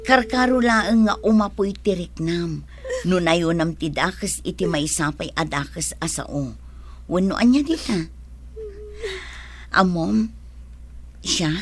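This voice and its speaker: A woman's voice: mid-range voice, lively intonation, slow, pauses often, slight background noise. A woman acting a sensible, generous older sister from the village in a radio comedy drama, with a Filipino accent. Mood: admonishing.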